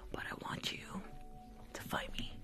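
A young woman whispering breathily in an ASMR medical roleplay, giving soft, repetitive, casual instructions close to the mic. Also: moderate pace, loud music behind the voice. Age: young adult. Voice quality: breathy whisper, mostly unvoiced. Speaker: ASMR streamer playing a doctor. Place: small room at home.

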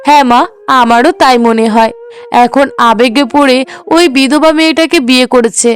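Woman playing a high-voiced, indignant grown daughter. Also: fairly even intonation, normal speed, close to an everyday voice. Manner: indignant, gossipy. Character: resentful, judgmental grown daughter and sister. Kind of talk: melodramatic cartoon acting.

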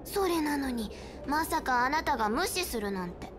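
A blunt, teasing young girl from an anime-style game. Her voice is high and sharp-witted, with background music under it. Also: lively intonation, normal speed. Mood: suspicious. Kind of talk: Japanese game voice acting.

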